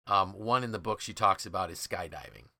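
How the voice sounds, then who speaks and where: A male podcast host with a fairly low, bright and forceful voice. He explains things conversationally, leaning on key words for emphasis, in a dry, close sound. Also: brisk, vocal fry, no echo.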